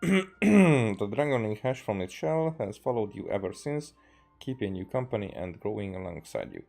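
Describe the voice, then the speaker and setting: A man's voice: fairly low pitch, very animated, moderate pace, creaky, darkish tone. A man from Hungary doing casual live game commentary, hesitantly thinking aloud as he plays. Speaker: game streamer. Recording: game music behind the voice.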